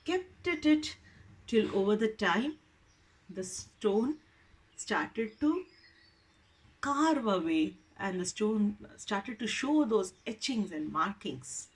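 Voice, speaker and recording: A woman's voice: mid-range voice, animated, slow, poor audio. A woman storyteller narrating informally, repeating words and phrases for emphasis.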